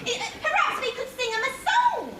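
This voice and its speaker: A woman's voice: very high-pitched, big pitch swings, normal speed. A woman playing a silly, eager overgrown schoolchild in an amateur stage pantomime. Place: theatre stage. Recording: echoey, very noisy theatre.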